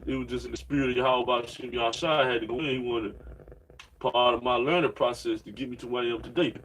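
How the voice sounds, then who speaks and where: A man's voice: medium pitch, fairly even intonation, fast talker, fairly bright tone, slight background noise, poor audio. A man in his late thirties preaching informally and reading scripture, rambling in slang and padding nearly every sentence with "man" and "you know what I'm saying.". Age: 30s. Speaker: religious preacher.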